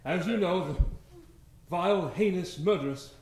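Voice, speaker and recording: An actor playing a solemn, self-important prison warden in an old-time radio play, with pompous, declamatory delivery. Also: male voice, high voice, normal speed, darkish tone, close to an everyday voice. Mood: grave, pompous. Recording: echoey live stage recording.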